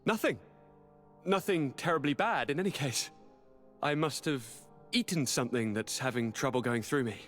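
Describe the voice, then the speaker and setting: An actor playing an eager young noble governor in a video game, with a courtly, dramatic, formal delivery. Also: male voice, mid-range voice, lively intonation, normal speed, a bit bright, soft background music, close to an everyday voice. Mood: breezy, dismissive. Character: young nobleman governor, eager, theatrical, wounded by his father. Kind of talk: video game cutscene acting.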